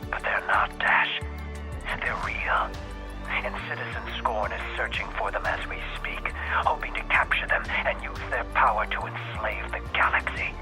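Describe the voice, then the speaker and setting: An actor playing a solemn male hero who tells of galactic legend in a recorded audio drama message, grave and hushed. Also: lowish voice, moderate pace, music in the background, lightly acted, rough recording. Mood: grave, urgent.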